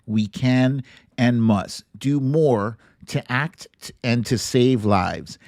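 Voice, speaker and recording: A man's voice: mid-range voice, slow pace, gravelly, a little mellow, dry recording, studio quality. An American man hosting an online political commentary show, talking casually, bluntly and emphatically, with some swearing.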